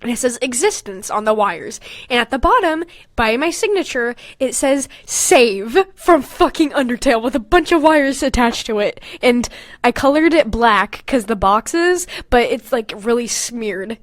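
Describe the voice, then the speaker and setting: A young woman with a high-pitched voice, recorded dry and close. She reads and comments like a casual podcast host, animated and giggly, mocking herself with plenty of swearing. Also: a little slow, dry recording. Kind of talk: casual comedic commentary while reading own old fanfiction aloud.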